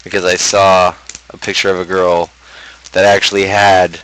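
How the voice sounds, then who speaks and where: A man's voice: low voice, flat delivery, moderate pace, a bit bright, clear, resonant tone, rough recording. A young adult man chatting casually on a livestream, rambling and opinionated as he rants. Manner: opinionated, ranting. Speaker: livestream host.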